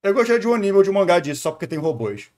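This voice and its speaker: A Brazilian man with a somewhat high voice talks casually as he reacts on a stream. He wanders from thought to thought, musing aloud in an unscripted way. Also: big pitch swings, very fast. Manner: rambling, musing. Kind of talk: casual spontaneous reaction commentary.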